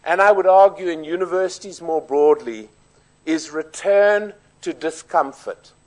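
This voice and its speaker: Man, South African accent, high voice, formal speech, measured, emphatic. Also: poor audio. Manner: measured, emphatic, lists points in order.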